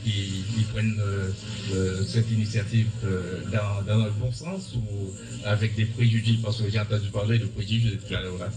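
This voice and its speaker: A man, a radio reporter, asking halting, hesitant interview questions. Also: fairly low pitch, flat delivery, music in the background. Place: evening school event. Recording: distant mic with loud background noise.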